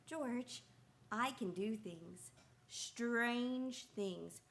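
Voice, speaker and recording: A woman's voice: on the high side, drawn-out words, gravelly, fairly bright tone, heavily acted. A woman playing a cheerful, naive apprentice guardian angel in a radio play, chirpy and exclaiming. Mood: excited. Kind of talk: stage-style radio play acting.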